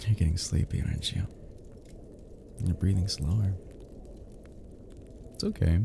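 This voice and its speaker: A man playing a tender, flirty best friend in an intimate ASMR roleplay, murmuring softly and affectionately as he soothes the listener to sleep. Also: low voice, measured pace, vocal fry, background noise, exaggerated voice. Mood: tender, confessional. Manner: soft, murmuring, gently teasing, affectionate.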